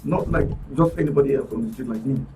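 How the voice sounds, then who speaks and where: A male guest on a radio panel, talking forcefully in a lecturing way. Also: medium pitch, fast. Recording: distant mic, faint music behind.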